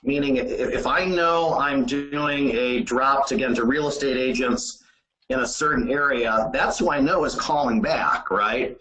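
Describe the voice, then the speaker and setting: A man giving informal sales training in a halting, conversational way, often saying "you know". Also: medium pitch, sharp tone, a little hiss or hum. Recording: roomy, echoey sound.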